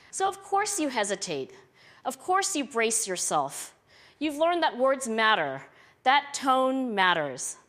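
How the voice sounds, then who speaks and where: Female narrator for reflective self-help script: high register, very varied intonation, deliberate short phrasing. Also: a bit bright. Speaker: video narrator.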